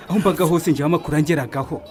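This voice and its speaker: A man acting as a hard-nosed trader speaks briskly in a fairly high voice. He mocks and dismisses with teasing bite, in the manner of a radio play. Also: a little mellow, soft background music, close to an everyday voice. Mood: mocking. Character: hard-nosed, mocking food seller.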